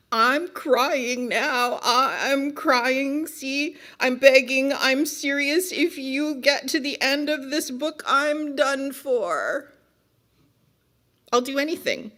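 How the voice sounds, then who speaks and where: A woman reading a picture book aloud as a frantic, bossy narrator who scolds and begs the reader in an exasperated, melodramatic way. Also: low voice. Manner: exasperated, melodramatic, emphatic pleading with drawn-out warnings. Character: frantic, bossy, pleading storybook narrator begging reader to stop. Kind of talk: dramatic children's picture-book read-aloud.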